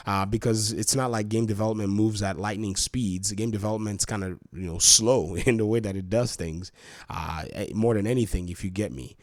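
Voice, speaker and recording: A man's voice: lowish voice, darkish tone, no echo, studio quality. A man hosting a gaming channel, giving casual opinionated commentary in a boxy, stuffy voice, congested from allergies.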